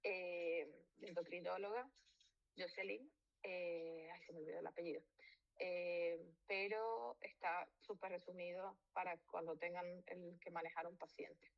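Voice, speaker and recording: A woman physician from Venezuela walks students through a medical lecture, talking loosely and correcting herself along the way. Her voice has a gravelly, fried edge at a middling pitch. The recording sounds dull and lo-fi. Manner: informal, with fillers and self-corrections.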